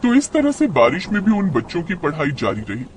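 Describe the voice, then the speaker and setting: A man's voice: on the high side, wildly swooping pitch, fairly quick, noisy background. A man narrating a scripted moral story as its storyteller.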